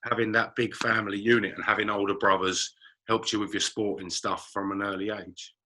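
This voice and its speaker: A male host with a very bright, sharp tone and a slightly low pitch. He steers the conversation with calm, leading questions in an interview setting. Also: brisk, a little hiss or hum. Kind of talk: podcast interview.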